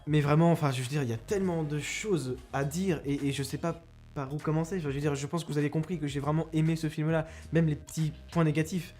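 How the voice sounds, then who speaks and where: A man's voice: fairly high pitch, fairly even intonation, quick pace, darkish tone, faint music behind. A young man giving a casual spoken film review, rambling and self-mocking with many restarts, close to a microphone.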